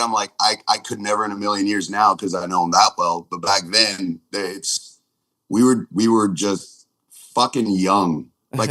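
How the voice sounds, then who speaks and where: A man in his forties telling stories casually as a podcast guest, rambling and halting, with plenty of swearing. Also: mid-range voice, a bit bright, dry recording, poor audio. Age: 40s. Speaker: podcast guest, punk band drummer.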